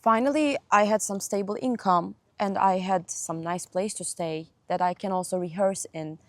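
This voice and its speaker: A young woman from Turkey, whose first language is Turkish, chatting as a guest musician in an interview, laughing often and pausing with fillers. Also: mid-range voice, dry recording. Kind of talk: casual interview chat.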